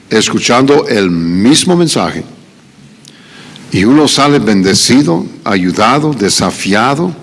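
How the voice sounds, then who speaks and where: A middle-aged man preaching a sermon in a church with a Mexican Spanish accent, pausing on many "ah" fillers and rising to emphatic questions. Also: medium pitch. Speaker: visiting preacher. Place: church sanctuary. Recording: echoey church hall.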